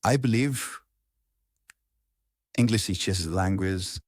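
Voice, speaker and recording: A man with an Indian accent giving an informal instructional talk in an earnest, halting way, repeating words for emphasis. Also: low voice, no room sound, clean studio sound. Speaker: public speaking coach.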